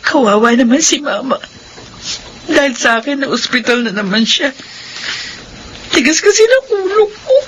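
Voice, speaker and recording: An actress playing a grieving, bitter young Filipino daughter in an emotional radio drama. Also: female voice, high voice, expressive, normal speed, long pauses. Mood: remorseful, tearful. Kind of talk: Philippine radio drama acting.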